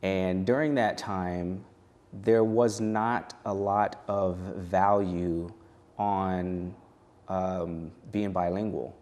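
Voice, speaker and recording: A man in his thirties with a fairly low voice gives reflective answers in a studio interview. He talks in a measured, thoughtful, personal way, taking his time to find his words. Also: steady tone, a little mellow. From United States. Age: 30s. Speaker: guest poet and educational consultant. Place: television studio.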